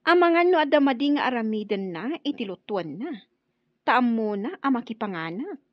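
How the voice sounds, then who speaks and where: A sly young schemer in a radio drama, insistent and calculating. Her voice is high and swings expressively up and down. The recording is dry and a little dull. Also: very animated, normal speed, no echo. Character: sly young woman conspiring to break up a marriage. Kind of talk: Ilocano radio drama acting.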